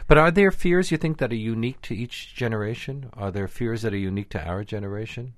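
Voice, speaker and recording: A man's voice: fairly low pitch, fast talker, dry recording, clean studio sound. A middle-aged man hosting a radio interview, polished and conversational. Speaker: radio host.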